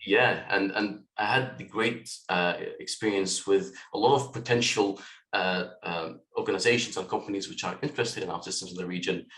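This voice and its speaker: Low-voiced man in a roomy echo. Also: moderate pace. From Lebanon, raised in Kuwait. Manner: relaxed, thoughtful, with frequent fillers. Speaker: guest, software tech lead. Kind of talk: interview conversation.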